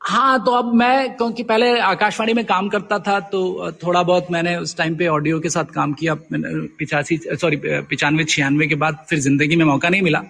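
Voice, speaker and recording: A man's voice: a little high, fairly even intonation, moderate pace, gravelly, hardly any pauses, a bit bright, a little hiss or hum. A middle-aged man answering as a guest writer in a conversational interview, hesitantly searching for his words.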